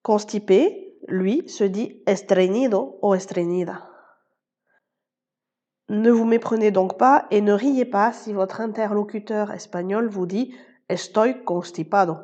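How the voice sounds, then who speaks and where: A woman hosting a language-learning podcast, explaining pronunciation and vocabulary clearly and speaking straight to the listener. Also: medium pitch, measured pace, mellow, lo-fi sound. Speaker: Spanish teacher and podcast host. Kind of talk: scripted podcast lesson.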